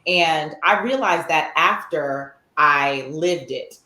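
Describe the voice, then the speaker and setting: A woman's voice: low voice, slow pace, bright, forceful tone, echoey room. A woman guest on a podcast, earnestly explaining her views in casual chat.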